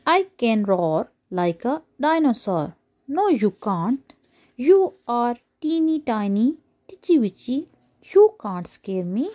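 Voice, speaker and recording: A woman storyteller reads a children's book aloud. Her voice sits fairly high and swings widely in pitch. She takes it slowly and deliberately, halting now and then. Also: very animated, warm tone.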